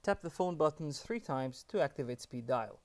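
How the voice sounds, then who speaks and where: A man's voice: a little high, lively intonation, normal speed, darkish tone, slight background noise. A man giving scripted step-by-step instructions as an upbeat tutorial presenter.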